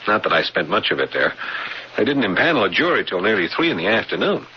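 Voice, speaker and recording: An actor playing a modest, reluctant artist husband in a casual, colloquial way in a 1980s radio drama. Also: male voice, on the low side, sing-song, fast, slightly rough, heavily acted. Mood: casual, explaining. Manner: casual, self-deprecating, colloquial. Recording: dull, hissy old radio broadcast recording.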